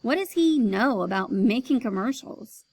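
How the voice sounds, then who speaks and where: A woman's voice: on the high side, animated, moderate pace, a little mellow, no echo, poor audio. A woman reading aloud, voicing a curious, indignant schoolboy who asks pointed questions.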